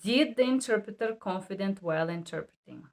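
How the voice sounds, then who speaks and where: A woman's voice: mid-range voice, animated, fairly quick, darkish tone. A woman who works as a medical and legal interpreter, teaching to camera in emphatic, non-native English and bluntly repeating key rules. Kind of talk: instructional talk to camera.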